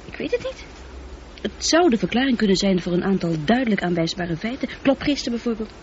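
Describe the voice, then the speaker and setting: A woman playing a calm, thoughtful female ship's computer with a precise, measured delivery and a touch of loneliness, in a radio drama. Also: mid-range voice, natural voice. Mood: thoughtful, explanatory. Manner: precise, factual, composed. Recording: dull, noisy old radio drama recording.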